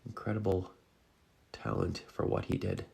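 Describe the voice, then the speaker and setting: A man painting on a live stream, answering viewers in a soft, halting way while congested with a cold. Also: low-pitched, fairly even intonation, vocal fry. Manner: soft-spoken, halting, many fillers. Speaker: painting instructor. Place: art studio, indoors.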